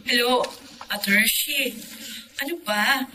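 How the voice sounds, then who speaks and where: A woman's voice: a little high, slow pace, noisy background. A Filipina actress playing an exasperated younger sister in radio melodrama, scolding and probing as she talks.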